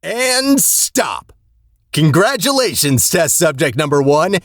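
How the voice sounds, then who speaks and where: Automated test facility announcer, formal, deadpan, officious, video game, dry studio sound. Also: medium pitch, takes it slowly, dry recording, heavily acted. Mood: detached, officious. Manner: formal, detached, dryly officious. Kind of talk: scripted deadpan public-address announcements.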